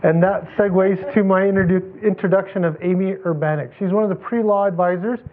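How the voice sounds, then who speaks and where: A man emceeing a workshop, introducing speakers in a measured, polite way. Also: high voice, steady tone. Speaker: event emcee. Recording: phone-like line with faint noise.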